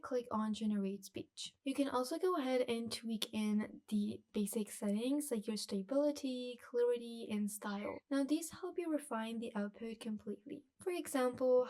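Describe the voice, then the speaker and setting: A woman with a fairly high voice presents a software tutorial. Her delivery is scripted voice-over narration. She explains things step by step, leaning on key phrases for emphasis.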